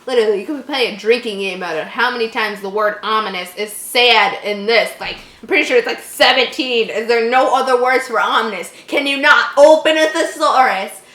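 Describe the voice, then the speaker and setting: A woman's voice: a little high, fairly quick, hardly any pauses, bright, forceful tone. A woman reading a horror story aloud and breaking in with sarcastic, exasperated commentary. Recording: roomy echo, faint background noise.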